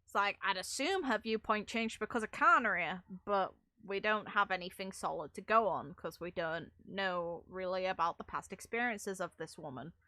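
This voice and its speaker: A woman's voice: medium pitch, pushed and bright, no echo, clean studio sound. A woman giving casual, rambling commentary on game lore, informal and a little sweary, with wry asides. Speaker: gaming video creator discussing game lore.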